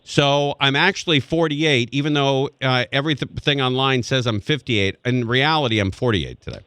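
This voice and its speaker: A man in his forties hosting a radio talk show, jokey and animated as he chats with a guest. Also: medium pitch, normal speed, clear, resonant tone, no echo. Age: 40s. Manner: jokey, animated, laughs along. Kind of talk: casual radio chat. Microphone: studio microphone.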